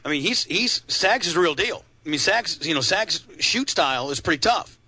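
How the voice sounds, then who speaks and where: A man hosting a casual talk show interview, jumping in quickly with short replies. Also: a little high, expressive, pushed and bright, dry recording. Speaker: radio show host.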